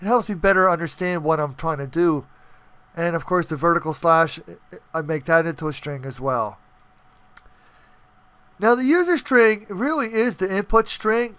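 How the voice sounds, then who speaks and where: A man's voice: high voice, animated, moderate pace, fairly bright tone, clear, resonant tone, no echo. A man hosting a casual screen-recorded coding tutorial, talking informally and often checking in with the listener. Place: indoors, voice-over on screen capture.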